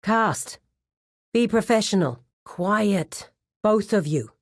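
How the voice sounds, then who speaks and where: An actress playing a composed, businesslike crime cartel administrator in a video game, speaking in a formal, measured, authoritative way. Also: female voice, medium pitch, expressive, dry recording, studio quality. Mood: stern, reprimanding. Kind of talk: scripted video game character dialogue.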